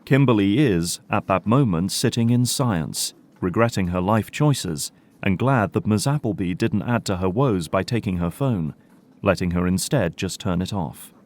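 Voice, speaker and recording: A man's voice: low voice, lively intonation, moderate pace, darkish tone. A man narrating an audio drama in a scripted storytelling style.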